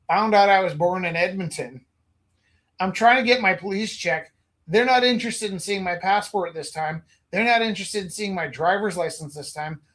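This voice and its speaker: A man from Alberta, a gallery owner and teacher, rambling through animated anecdotes in casual chat. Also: high voice. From Alberta, Canada.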